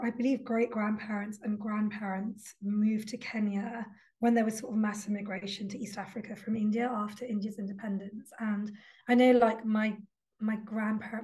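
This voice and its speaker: A woman's voice: on the high side, a little mellow. A young adult woman, a guest author talking reflectively in a casual interview, with frequent ums and likes. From London.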